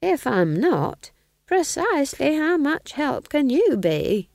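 A woman's voice: mid-range voice, wildly swooping pitch, darkish tone, no echo, studio quality, voice pushed up, heavily acted. A woman voicing a drawling, bossy old witch. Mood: teasing. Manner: drawling, slow and teasing.

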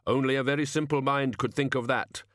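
A man voicing a solemn skeletal reaper in an audiobook, speaking slowly and weightily in a matter-of-fact way. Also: mid-range voice, steady tone, a bit bright, no echo, studio quality, pitched above the performer's own voice, natural voice. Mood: grave, satisfied. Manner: slow, weighty, measured delivery.

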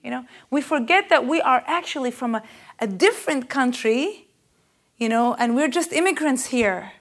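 Earnest woman preacher, rather high voice. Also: animated, moderate pace. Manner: intense and earnest, rising and louder on key questions; hesitant fillers, searching for words. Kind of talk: spoken sermon.

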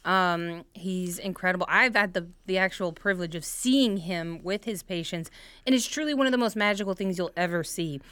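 A woman's voice: fairly low pitch, moderate pace, fairly bright tone, clear, resonant tone, dry recording. A young adult woman co-hosting a casual interview podcast, talking about her guest with enthusiasm and admiration. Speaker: podcast co-host, medical student.

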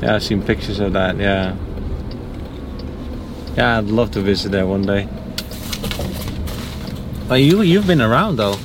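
Dutch-accented man hosting amid loud car noise. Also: fairly low pitch, animated, unhurried, pauses often. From Netherlands. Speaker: host, driver. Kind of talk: casual chat. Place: inside a vehicle. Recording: loud vehicle and air noise.

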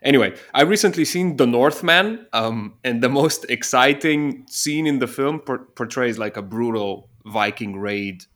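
A man's voice: mid-range voice, expressive, a little slow, hardly any pauses, fairly bright tone. A young man from Slovenia, a native Slovenian speaker, hosting an interview and asking long, curious framing questions. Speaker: podcast host.

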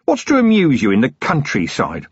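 A man voicing a gruff, weary, middle-aged city police commander, sardonic and full of complaint, for an audiobook. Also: medium pitch, sing-song, normal speed, fairly bright tone, no echo, dull audio, pitched above the performer's own voice. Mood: grumbling.

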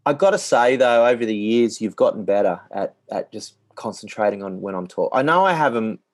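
低く単調に話すオーストラリア訛りの男性. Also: male voice, fairly quick, dry recording. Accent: Australian. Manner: monotonous, low-key delivery. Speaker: podcast co-host, musician. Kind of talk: casual chat.

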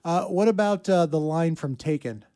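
A man with a fairly high voice chats as a morning radio co-host. His delivery is casual and conversational, pausing here and there to gather his thoughts. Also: warm tone, clean tone.

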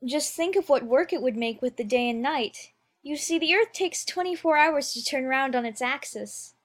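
A woman's voice: high-pitched, moderate pace, close to an everyday voice. A woman playing a polite, earnest, curious young girl in an audiobook drama, prim and well-spoken. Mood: polite, eager. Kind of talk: full-cast audiobook character acting.

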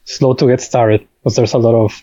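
A man's voice: mid-range voice, steady tone, fairly quick, warm tone, no room sound, highs cut off. A man who is a native Spanish speaker, explaining his work as a game developer in a casual interview, in piecemeal phrases.

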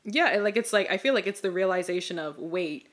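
A woman's voice: lowish voice, a little fast. Chatty young woman from the Bahamas. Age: young adult. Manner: chatty, rambling, heavy use of "like". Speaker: podcast co-host. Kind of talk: casual chat.

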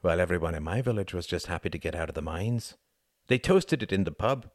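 Man acting earnest, animated working-class young man. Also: low voice, fairly quick, no room sound, studio quality. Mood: wry storytelling. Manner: rhetorical, emphatic.

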